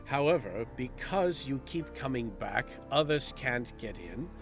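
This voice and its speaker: A man's voice: mid-range voice, animated, unhurried, music in the background. A man playing a grave, formal councilor of another realm in an audio drama, solemn and matter-of-fact. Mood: grave explaining.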